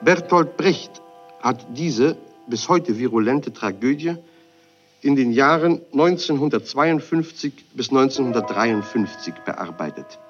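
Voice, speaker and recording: A man's voice: medium pitch, fairly bright tone. A man reading a formal, literary scripted address aloud. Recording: dull lo-fi recording.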